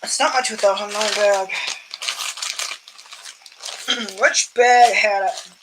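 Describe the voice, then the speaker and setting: A young man with a higher voice for a man chats casually while sorting his coin collection, amused at his own stories and often clearing his throat. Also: fairly even intonation, takes it slowly, noisy background. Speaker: coin collecting channel host. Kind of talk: casual rambling vlog chat while sorting coins.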